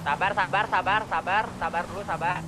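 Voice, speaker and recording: Young male gamer, high voice, urgent repeated callouts, noisy game audio with vehicles. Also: steady tone, brisk. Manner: urgent, repeats short commands. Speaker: gamer leading a crew in online roleplay. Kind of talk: casual in-game team callouts. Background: game vehicle noise.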